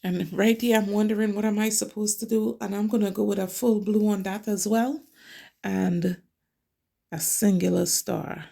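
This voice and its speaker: A woman's voice: medium pitch, fairly even intonation, moderate pace, vocal fry, mellow. A middle-aged woman from Trinidad and Tobago, a nail technician chatting casually as she explains each step of her work. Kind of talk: casual nail tutorial narration.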